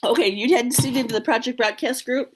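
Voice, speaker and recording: A woman hosting a team video call, upbeat and encouraging, a little hoarse and clearing her throat often. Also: fairly high pitch, brisk. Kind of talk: casual team meeting talk.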